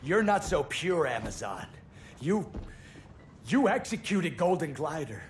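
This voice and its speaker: Sneering, higher-voiced villain. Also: male voice, sing-song, measured pace, a little hiss or hum. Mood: bitter. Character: bitter, sneering male villain with icy quips. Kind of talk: video game cutscene acting. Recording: loud music behind the voice.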